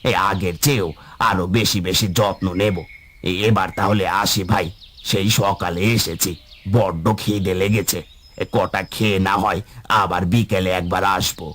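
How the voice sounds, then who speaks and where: A weary cartoon farmer, acted by a man, earnest and lamenting. His voice sits fairly low and sounds plaintive and worried. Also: fairly even intonation, moderate pace, a bit bright, slight background noise. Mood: resigned. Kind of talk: cartoon voice acting.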